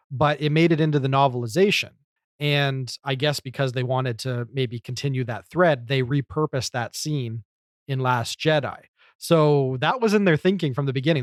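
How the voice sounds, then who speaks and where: A man's voice: medium pitch, dry recording, studio quality. A man co-hosting a podcast, discussing film casually in a thoughtful, explanatory way. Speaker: podcast host.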